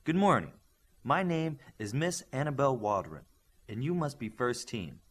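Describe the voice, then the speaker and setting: A man's voice: mid-range voice, sing-song, normal speed, breathy edge, pitched above the performer's own voice. A man voicing a poised young female teacher in audiobook dialogue, formal, precise and self-assured. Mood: poised, polite.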